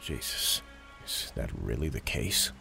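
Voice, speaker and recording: A man's voice: extremely low pitch, lively intonation, a little slow, vocal fry, sharp tone, faint music behind, exaggerated voice. A man playing a stern, principled police detective in an audio drama, dry, terse and weary. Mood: businesslike, weary.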